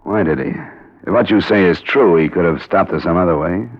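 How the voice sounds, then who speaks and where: An actor playing a cool, skeptical American insurance investigator in a radio crime drama, terse and matter-of-fact. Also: male voice, low voice, fairly quick, slightly rough. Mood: skeptical questioning. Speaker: lead actor and first-person narrator. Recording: muffled old radio recording, music behind.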